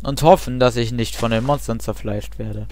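A man's voice: medium pitch, fairly even intonation, moderate pace, noisy background. A young man chatting casually and rambling with self-mocking asides while he plays a game. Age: young adult. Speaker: let's play host. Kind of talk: casual gameplay commentary.

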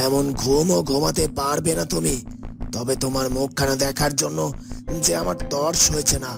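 A man playing a gloating kidnapper and obsessed suitor in a fairytale cartoon, speaking with slow, mock-tender menace. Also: medium pitch, gravelly, natural voice. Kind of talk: Bengali fairytale cartoon acting.

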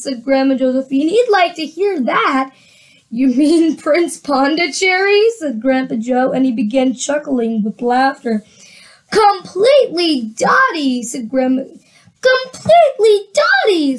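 A woman reads a children's storybook aloud in a high voice, giving the characters voices of their own. She often restarts lines and drops into relaxed asides to her listeners. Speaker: reader of a children's book.

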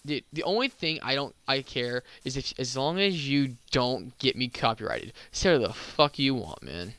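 A man's voice: mid-range voice, expressive, normal speed, a bit bright, dry recording. Young guy streamer, kinda slurs and stumbles, little lisp, clicky keys in the back. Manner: stumbling, slurred casual speech with a slight lisp. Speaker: gaming YouTuber and streamer. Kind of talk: casual gameplay chat.

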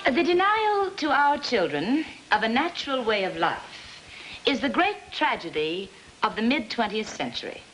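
A woman's voice: fairly high pitch, big pitch swings, normal speed, fairly bright tone, slight background noise, low-quality recording. An actress playing an earnest, self-important middle-aged mother with a grand declamatory manner, in 1950s film style. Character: earnest, self-important suburban mother rehearsing her speeches. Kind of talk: 1950s American film acting. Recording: 1950s film soundtrack with music behind.

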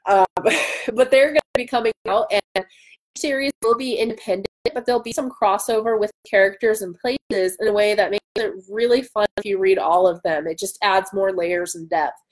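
A woman's voice: mid-range voice, normal speed, rough recording. A woman in her thirties hosting a casual livestream, chatting enthusiastically and with self-deprecating humor as she answers viewers. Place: indoor room at home by a window.

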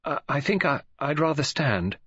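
A man voicing a nervous, apologetic woman of about twenty in an audiobook, breathy, hesitant and stammering. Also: fairly high pitch, big pitch swings, normal speed, a bit bright, no room sound, lo-fi sound, pitched above the performer's own voice, exaggerated voice.

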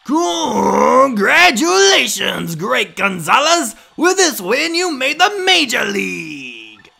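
A man voicing a brash, loud male fight announcer in a game fan dub, calling out fighters with big hype. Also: big pitch swings, slow, creaky, slightly rough, full character voice. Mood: hyping. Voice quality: booming hype.

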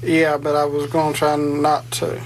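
A man's voice: fairly high pitch, monotone, normal speed. A young man answering questions hesitantly as a suspect in an interrogation room. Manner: hesitant, mumbling short answers. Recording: noisy raw footage.

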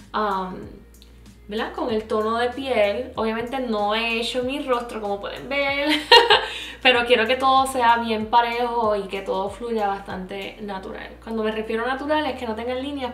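A young woman with a Puerto Rican accent chats casually as she does her makeup on camera, mixing in English words. Her voice sits a little high. The room rings noticeably, with soft music underneath. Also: reverberant. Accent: Puerto Rican Spanish. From Puerto Rico. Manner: chatty, mixes English words into Spanish. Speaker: makeup vlogger. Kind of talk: makeup tutorial, talking while applying. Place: small indoor room with plain backdrop.

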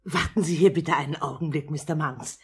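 A woman's voice: low voice, a little fast, husky. A woman playing a bossy, nagging wife in a 1950s radio drama. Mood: polite, curt. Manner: scolding, bossy.

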